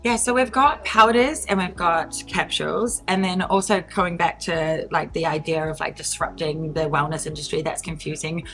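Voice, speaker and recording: A woman's voice: sits low, fairly even intonation, moderate pace, hardly any pauses, fairly bright tone, soft background music, poor audio. A woman in her thirties with a New Zealand accent, chatting as an interview guest with many fillers and frequent laughs. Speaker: guest, entrepreneur. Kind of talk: casual interview talk.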